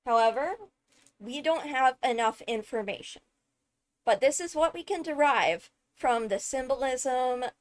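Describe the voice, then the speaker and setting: A woman giving enthusiastic fan commentary, thinking aloud with dramatic, deliberate phrasing and emphatic pauses. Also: on the high side. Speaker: video creator analysing a game character.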